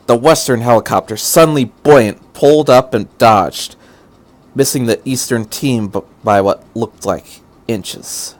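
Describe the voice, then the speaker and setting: A man's voice: mid-range voice, a little slow. A man reading fan fiction aloud as a narrator, with an earnest, dramatic delivery.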